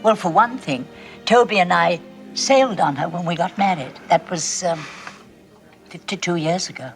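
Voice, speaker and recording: An actress playing an elderly, gracious and knowing wife in a vintage television drama. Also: female voice, lowish voice, normal speed, soft background music, lightly acted. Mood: fond, knowing. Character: gracious, knowing old wife reminiscing fondly.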